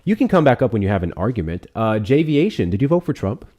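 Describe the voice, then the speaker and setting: Male debate host, quick-talking, swooping animated pitch, combative, sarcastic, profane. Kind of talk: heated live debate.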